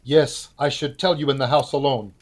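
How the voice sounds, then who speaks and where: A man's voice: mid-range voice, fairly even intonation, moderate pace, lightly acted. A man voicing a young prophet who delivers a divine message in an audio drama. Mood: earnest. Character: young male prophet, earnest messenger.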